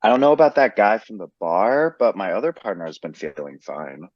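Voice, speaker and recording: A man's voice: fairly low pitch, sing-song, fairly quick, dry recording. A man playing a patient in a training role-play who answers health questions in a plain, cooperative and slightly hesitant way. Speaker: patient being interviewed. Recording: slightly muffled dry recording.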